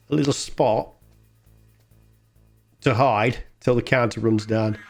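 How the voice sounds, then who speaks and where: A man hosts a gaming video with casual, chatty commentary. His voice sits at a medium pitch, and he talks in a colloquial, self-deprecating way as he plays, with faint music behind him. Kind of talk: casual game commentary while playing. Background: video game music.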